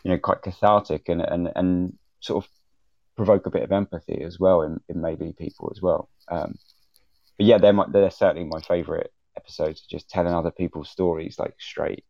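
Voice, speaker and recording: A deep-voiced man speaks casually on a panel, circling back over his words as he goes. His phrasing is halting and informal. The recording is close and dry but a little dull. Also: steady tone, creaky. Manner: halting, repeats and hedges softly. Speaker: podcast host, panelist. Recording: dull, roomy, echoing audio.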